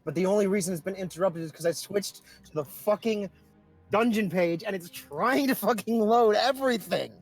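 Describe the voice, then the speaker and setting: A man's voice: high voice, moderate pace. A man chatting casually as a player on a tabletop game livestream. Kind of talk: casual chat. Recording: music behind the voice. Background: background music.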